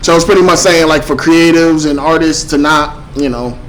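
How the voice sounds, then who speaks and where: A man co-hosting a podcast, chatting in a relaxed, slangy way with many restarts. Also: a little high, normal speed, rough recording. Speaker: podcast co-host. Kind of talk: casual podcast chat.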